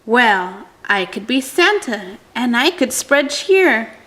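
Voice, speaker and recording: Casting note: restless, world-weary skeleton man for a storybook, read by a woman, high voice, slow theatrical delivery. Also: big pitch swings, a bit bright, exaggerated voice, low-quality recording. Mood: envious, hopeful. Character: bored, weary male skeleton yearning for something new.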